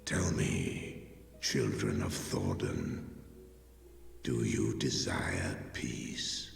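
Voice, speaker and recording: An actor voicing an ancient, solemn dragon in a video game, speaking in stately archaic phrases through a cavernous reverb. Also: male voice, drawn-out words, exaggerated voice. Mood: solemn challenge. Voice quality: deep, gravelly. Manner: archaic, stately, portentous speech. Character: ancient, solemn male dragon, huge and grave. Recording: echoing long reverb, distant, faint music.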